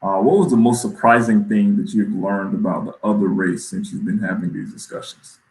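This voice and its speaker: Echoey male host, halting delivery. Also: fairly low pitch, steady tone, a little mellow. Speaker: host, moderator. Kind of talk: conversational panel moderating. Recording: echoey room sound.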